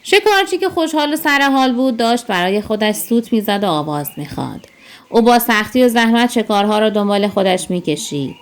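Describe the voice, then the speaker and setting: A woman storyteller with a fairly high voice narrates for children. Her delivery is scripted and expressive, each sentence set out clearly.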